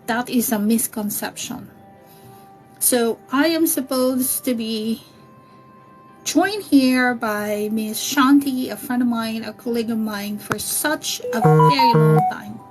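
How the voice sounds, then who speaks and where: Chatty woman with a high voice. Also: slow, music in the background. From Philippines. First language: Tagalog. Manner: chatty, fretting over technical glitches. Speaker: livestream host, psychic medium. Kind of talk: casual livestream chat. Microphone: computer or phone mic on a live stream. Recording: dull lo-fi livestream audio.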